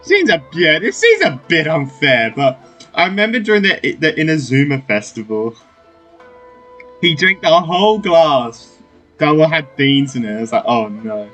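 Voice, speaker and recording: A man's voice: on the high side, sing-song, normal speed, fairly bright tone. A man giving casual playthrough commentary as a gaming streamer, in a rambling, informal way. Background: game music.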